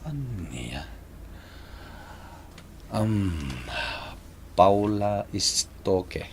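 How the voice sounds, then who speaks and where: An actor playing an excitable young man in a radio drama, halting and exclaiming, with an Ilocano accent. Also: on the low side, gravelly, a little hiss or hum, full character voice. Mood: puzzled. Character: excitable young man shocked by his lover's confession. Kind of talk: Filipino radio drama acting.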